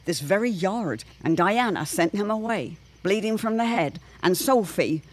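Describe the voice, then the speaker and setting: A woman's voice: medium pitch, animated, unhurried. A woman playing an anxious, eloquent neighbourhood matron in a period radio drama, speaking in formal, theatrical phrases. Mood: troubled, foreboding. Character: Jane Pembroke, anxious, eloquent older neighbourhood matron with premonitions.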